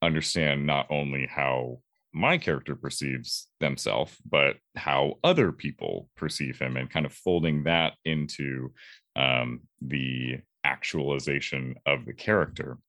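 Very deep, slow-talking, thoughtful man. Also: fairly even intonation, a bit bright, dry recording, studio quality. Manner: thoughtful, measured, halting. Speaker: voice actor being interviewed. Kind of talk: reflective interview talk.

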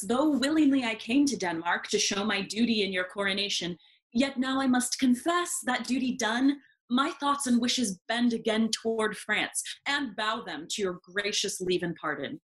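A woman's voice: a little high, moderate pace, a bit bright, close to an everyday voice, low-quality recording. A woman playing a respectful, earnest young male courtier with formal delivery in a Shakespeare audio play. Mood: respectful, pleading.

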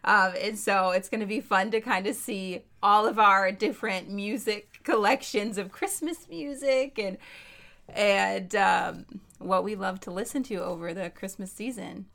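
Casting: young female co-host, bright mid-pitched voice, enthusiastic. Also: vocal fry. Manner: enthusiastic, quick affirmations. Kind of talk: casual chat.

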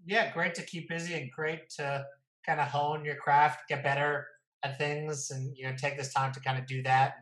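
Echoey, higher-voiced man hosting. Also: level tone, moderate pace, fairly bright tone. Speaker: interview host. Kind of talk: casual interview chat. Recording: echoey, roomy sound.

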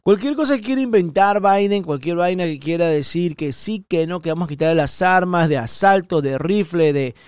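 A middle-aged Ecuadorian man with an Ecuadorian Spanish accent holds forth on his podcast, emphatic and repetitive, jumping up in pitch to stress his points. His voice sits fairly high and sounds smooth and clear, in a dry, close recording. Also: normal speed, few pauses, darkish tone, no room sound. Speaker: podcast host. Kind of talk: opinionated political monologue.

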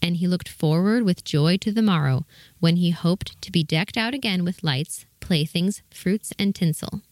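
A woman's voice: fairly low pitch, normal speed, darkish tone, dry recording. A woman giving scripted narration of a children's storybook tale.

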